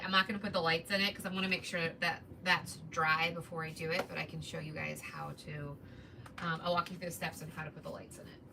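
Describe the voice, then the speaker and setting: A woman in her thirties with a soft, relaxing Midwestern voice, chatting casually with viewers during a crafting livestream. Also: medium pitch. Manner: chatty, greets viewers while working. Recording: distant mic with background noise.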